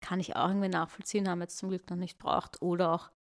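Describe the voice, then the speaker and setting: Female podcast co-host, young adult, Austrian accent, lower pitch, very even melody, colloquial chat. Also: racing.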